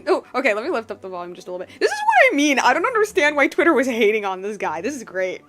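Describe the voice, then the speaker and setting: A woman with a slightly high voice comments on a game she is playing. She is casual and jokey, rambling and repeating phrases, with faint music behind her. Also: wildly swooping pitch, very fast, fairly bright tone. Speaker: game streamer.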